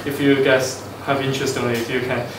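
A male undergraduate student introducing himself to a class, halting and repeating words. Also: mid-range voice, little pitch movement, background noise. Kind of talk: self-introduction. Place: large classroom. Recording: echoey hall from far off.

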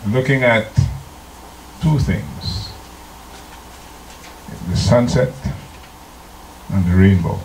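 An older man from Trinidad, a pastor preaching a slow, deliberate sermon into a handheld microphone in a church, now and then singing hymn lines. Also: fairly low pitch, animated, a little hiss or hum. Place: church sanctuary. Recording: echoey church room.

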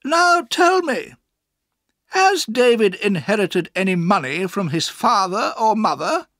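A narrator voicing a stern, sharp-tongued older woman, an aunt with an emphatic, commanding manner, in a storybook reading. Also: medium pitch, big pitch swings, a little slow, no room sound, studio quality, voice pushed up. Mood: sharp, crisp.

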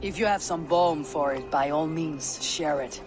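A man's voice: a little high, a little slow, music in the background, lightly acted. A man playing a composed, commanding Viking clan leader in a game cutscene. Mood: resolute. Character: steady Viking clan leader, calm and resolute. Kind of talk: video game cutscene acting.

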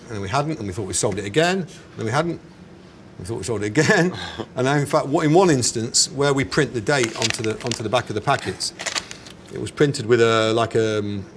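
A man in his forties with an English accent, chatting casually and correcting himself as he answers as an interviewed business founder. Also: medium pitch. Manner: hesitant, chatty, self-correcting. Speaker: snack company founder being interviewed. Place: indoors in a bar. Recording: clear background ambience noise.

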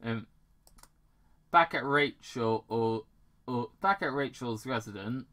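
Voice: medium pitch, a bit bright. A British amateur reader reading fan fiction aloud, stumbling over words and breaking off to ad-lib. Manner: halting, stumbling over words and correcting themselves. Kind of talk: amateur read-aloud with ad-libs.

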